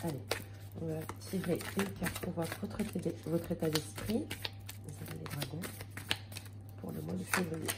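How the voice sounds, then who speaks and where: A woman's voice: sits low, steady tone, moderate pace, gravelly, long pauses, heavy background noise. A woman with a slight cold giving a casual tarot reading to her viewers, talking in a hesitant way with many fillers and restarts. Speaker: tarot card reader.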